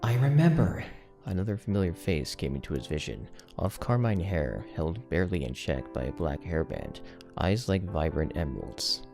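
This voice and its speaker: Very deep, gravelly man narrating fiction. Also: animated, normal speed, darkish tone, faint music behind. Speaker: audiobook narrator. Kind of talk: serious dramatic fiction reading.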